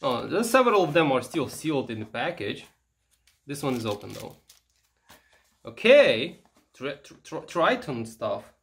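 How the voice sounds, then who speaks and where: A man giving casual, unscripted show-and-tell commentary, halting and musing aloud as he handles things. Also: medium pitch, sing-song. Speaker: vintage electronics vlogger.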